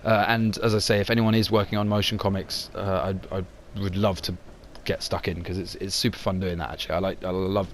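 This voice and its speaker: A man's voice: low-pitched, flat delivery, brisk, few pauses, slight background noise. A young man wrapping up his video in a casual, upbeat, rambling way with lots of fillers. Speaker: channel host. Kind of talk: casual outro to camera.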